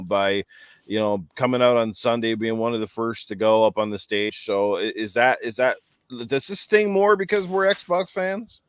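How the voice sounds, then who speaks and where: A man's voice: medium pitch, smooth, dry recording. Male podcast host with a resonant, clean voice and dry sound, casually steering a gaming panel. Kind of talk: casual moderated gaming discussion.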